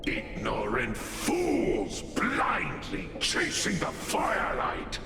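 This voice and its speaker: An actor voicing an arrogant, menacing fire villain in a video game, with grandiose, archaic, theatrical delivery. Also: male voice, medium pitch, huge pitch swings, drawn-out words, gravelly, heavily acted. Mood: haughty, menacing. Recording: cavernous reverb, game music behind.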